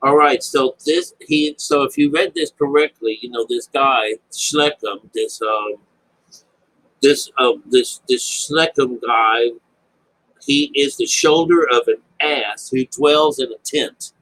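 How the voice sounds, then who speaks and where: A man with a husky voice giving informal, unscripted Bible commentary, rambling, repeating phrases and checking in with his listeners. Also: mid-range voice, slow, a bit bright, poor audio. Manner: rambling explanation with frequent check-ins like "all right?" and "okay?". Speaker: Bible teacher.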